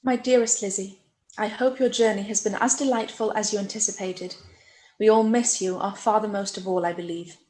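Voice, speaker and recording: A woman playing a gentle, earnest young gentlewoman voicing her own letter in a period radio play, tender and measured. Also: mid-range voice, fairly even intonation, natural voice. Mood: affectionate. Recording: roomy echo, faint background noise.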